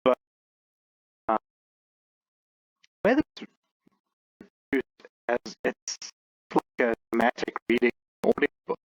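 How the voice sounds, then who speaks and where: A man giving casual game commentary and review as a video host, often pausing mid-sentence. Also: mid-range voice, very animated. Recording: muffled lo-fi mic, loud background noise.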